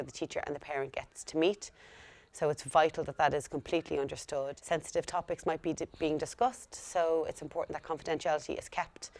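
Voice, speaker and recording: A young woman working as a school liaison coordinator, explaining her work in a steady, earnest way. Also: low-pitched. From Ireland. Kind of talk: speaking to camera about her work.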